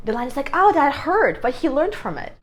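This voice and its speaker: A woman's voice: high-pitched, very animated, moderate pace, fairly bright tone, soft background music. A woman vlogger giving a casual, earnest self-help talk to camera, rambling and correcting herself as she goes, on a clip-on microphone.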